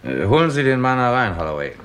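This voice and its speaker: A male actor playing a brisk, sceptical Scotland Yard chief inspector, questioning in a 1960s German radio crime drama. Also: medium pitch, big pitch swings, a bit bright. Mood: probing. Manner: terse, probing, authoritative.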